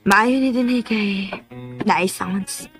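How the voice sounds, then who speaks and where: A woman's voice: medium pitch, slow, a bit bright, faint music behind. A Filipino actress playing a bitter, sarcastic, lovelorn woman in a radio drama, drawing out her lines with scorn. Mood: defiant, sardonic. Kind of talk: Philippine radio drama acting.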